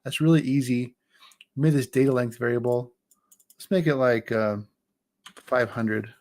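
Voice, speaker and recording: A man in his forties chatting casually on a livestream as he walks through his engineering software, joking and restarting his sentences. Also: medium pitch, normal speed. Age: 40s.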